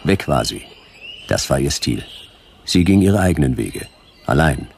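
A man's voice: extremely low pitch, sing-song, unhurried, creaky, a little hiss or hum, exaggerated voice. A man playing a wry, hard-boiled detective who narrates in terse, ironic sentences in a sci-fi radio drama. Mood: grim, laconic.

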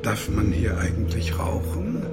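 An actor playing a laconic, bored police detective in a German radio crime drama, dry and offhand. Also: male voice, on the low side, sing-song, a little slow, in a whisper, loud music behind the voice. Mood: bored, official. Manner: dry, offhand, world-weary.

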